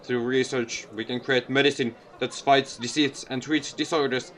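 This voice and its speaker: Visual novel scientist voiced by a man, hushed, measured, placid authority. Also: mid-range voice, lightly acted. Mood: solemn. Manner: calm, measured, solemn. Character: placid, quietly powerful male scientist in lab coat.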